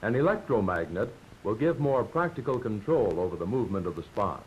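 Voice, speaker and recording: An American man narrating a 1940s instructional film from a script, explaining in a measured, didactic way. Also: animated. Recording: dull, noisy 1940s film soundtrack with rumble.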